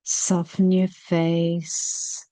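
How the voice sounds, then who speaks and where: A woman leading a guided meditation, speaking gently and soothingly with long, unhurried pauses. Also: fairly low pitch, monotone, no echo, poor audio.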